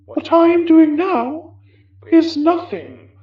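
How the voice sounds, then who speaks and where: A woman's voice: extremely high pitch, expressive, takes it slowly, mellow, reverberant, lo-fi sound, exaggerated voice. A woman playing a genteel, smug, sinister possessing spirit in a horror audio drama, with theatrical, condescending old-fashioned phrasing. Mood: smug, menacing.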